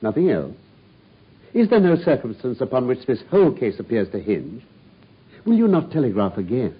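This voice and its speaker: A sharp, dry-witted detective, husky, animated delivery, old lo-fi radio play. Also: male voice, medium pitch, normal speed. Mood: probing. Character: brilliant cool-headed male detective, dry wit. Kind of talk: radio drama acting. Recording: dull lo-fi radio broadcast.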